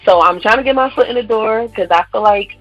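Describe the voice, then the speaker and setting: Bright, chatty young woman. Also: fairly high pitch, fast talker, no echo, dull audio, faint music behind. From Brooklyn, New York. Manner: upbeat, chatty, with "um" and "like" fillers. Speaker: guest artist. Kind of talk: radio interview.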